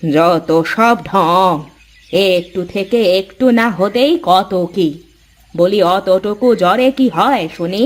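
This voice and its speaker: A woman voicing a scornful, grumbling older mother-in-law in a village cartoon, dismissive and scolding in drawn-out phrases. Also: mid-range voice, lightly acted. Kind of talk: Bengali cartoon fairy-tale voice acting.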